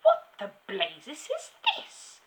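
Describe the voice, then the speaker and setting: A woman's voice: extremely high pitch, slow, a bit bright, voice pushed up, full character voice. A woman voicing a furious, bullying tyrant of a headmistress from a children's story, bellowing insults with great emphasis. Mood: alarmed fury. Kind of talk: children's storybook character reading.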